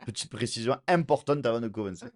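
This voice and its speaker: A man with a Marseille accent, telling stories quickly in a street interview. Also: medium pitch, lively intonation.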